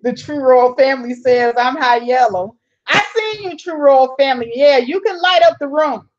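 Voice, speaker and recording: A woman's voice: high-pitched, moderate pace, dull audio. A woman hosting a casual live stream. She reads viewer comments aloud, answers them, and laughs often. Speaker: channel host reading live chat.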